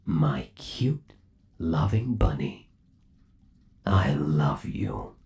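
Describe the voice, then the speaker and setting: A man's voice: extremely low pitch, animated, extremely slow, darkish tone, heavily acted. A man playing a possessive, lovesick undead animatronic rabbit boyfriend in an ASMR roleplay, cooing in a doting, theatrical and sinister way. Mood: adoring, obsessive.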